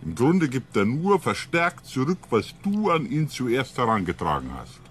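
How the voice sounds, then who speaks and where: A man playing a calm, patient ship psychologist who explains things emphatically in a science fiction radio drama. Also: mid-range voice, measured pace, slight background noise. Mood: patient, insistent.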